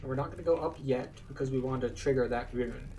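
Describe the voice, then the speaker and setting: A man's voice: medium pitch, quick pace, mellow, distant mic, slight background noise. A man giving a casual, explanatory commentary as he guides viewers through a video game. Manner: explanatory, conversational.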